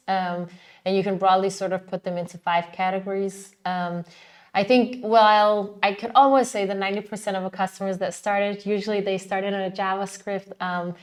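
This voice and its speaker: A woman presenting informally on a webinar as a team lead, in an upbeat, conversational manner. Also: medium pitch.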